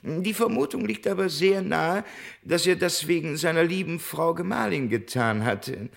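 An actor playing a fussy, apologetic gentleman crook, speaking in a hesitant, ornate and formal way in a comic radio serial. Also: fairly high pitch, lively intonation, normal speed, gravelly. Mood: dry, apologetic.

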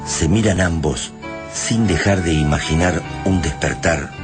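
A solemn storyteller for a radio play. His voice is low and his delivery is measured and literary, with music playing underneath. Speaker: narrator of a radio drama. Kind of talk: dramatic scripted radio narration.

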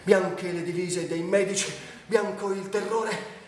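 A man's voice: sits high, fairly even intonation, a little slow. An actor playing a tormented, delirious male addict in a histrionic theatrical radio monologue that swings between brooding and cries. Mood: haunted. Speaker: actor and director. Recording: very echoey, distant, faint music behind.

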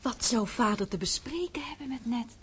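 An actress in a radio play as a pert, excitable and nosy young daughter. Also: female voice, on the high side, normal speed, a little hiss or hum, lightly acted. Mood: excited, curious.

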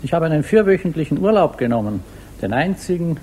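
An actor playing a devoted, awkward provincial suitor in a radio drama, courteous, earnest and tentative. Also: male voice, fairly high pitch, sing-song, quick pace, a little mellow, husky, rough recording.

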